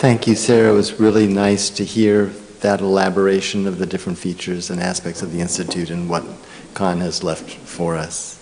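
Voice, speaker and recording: A man's voice: low-pitched, flat delivery, moderate pace, hardly any pauses, a little mellow. A man moderating an event, giving brief, formal introductions of the next speaker. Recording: reverberant hall with faint noise.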